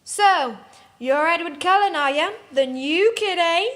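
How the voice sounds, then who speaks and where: A woman's voice: very high-pitched, animated, a little slow, a bit bright, pitched above the performer's own voice, full character voice. A woman voicing a bullying popular preppy teenage girl with a drawling, taunting, exaggerated manner. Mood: snide taunting.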